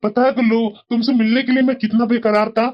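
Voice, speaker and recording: A woman's voice: fairly high pitch, little pitch movement, quick pace, muffled recording, lightly acted. A woman voicing an affectionate young man who pleads with an old college friend to meet him.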